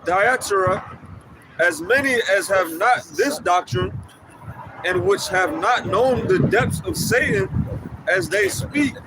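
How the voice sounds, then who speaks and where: Man reading scripture loudly from afar. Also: high voice, lively intonation. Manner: loud, slow, deliberate reading. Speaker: scripture reader. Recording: distant outdoor voice, traffic noise.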